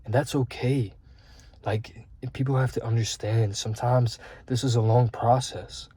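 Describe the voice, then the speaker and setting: A man's voice: mid-range voice, steady tone, a little slow, mellow, slightly rough. A man in his twenties giving earnest, rambling self-improvement talk as a vlogger, with frequent fillers and swearing. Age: 20s.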